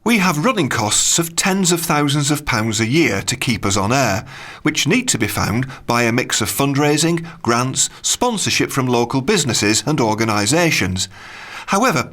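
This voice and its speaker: A man's voice: medium pitch, a bit bright. A man, presenting and narrating for community radio.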